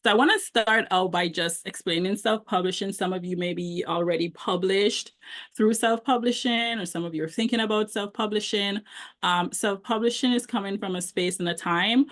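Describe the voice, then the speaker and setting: A woman's voice: mid-range voice, moderate pace, a bit bright. A middle-aged woman with a Jamaican accent, a book coach giving a conversational presentation with frequent ums. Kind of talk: online presentation.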